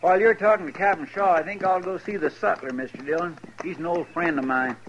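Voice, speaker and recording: Man playing a high-voiced, folksy western sidekick. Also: fairly even intonation, fast talker, lightly acted. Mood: chatty. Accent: American. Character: chatty, nosy, good-natured marshal's sidekick. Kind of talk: 1950s radio western acting. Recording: muffled, hissy vintage radio recording.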